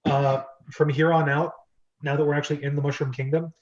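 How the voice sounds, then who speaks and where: A man with a slightly high voice leads a casual club discussion. He talks in a chatty, explanatory way. The sound is a little dull and lo-fi, like an online call. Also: flat delivery, a little fast. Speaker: host of a script reading club. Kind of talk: casual group discussion with read-aloud script.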